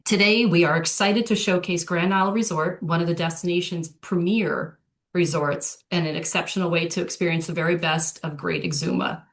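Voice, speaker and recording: A woman's voice: lowish voice, lively intonation, normal speed. A woman hosting a travel webinar, giving a prepared introductory presentation.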